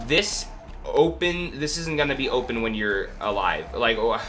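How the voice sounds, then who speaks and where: A man's voice: medium pitch, big pitch swings, normal speed, music in the background. A man with a cold, congested and sniffling, hosting a casual gameplay walkthrough in a rambling, hesitant way full of fillers. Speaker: gaming video host. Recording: clear background noise.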